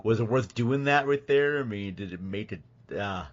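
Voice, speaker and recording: A man's voice: lowish voice, normal speed. Casual male podcast host, slightly muffled. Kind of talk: casual film discussion.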